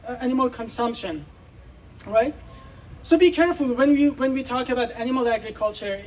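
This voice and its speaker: A man's voice: very high voice, darkish tone, recorded from a distance, a little hiss or hum, poor audio. A man from Iran giving an earnest, persuasive speech at an outdoor festival, putting questions to the crowd. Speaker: speaker.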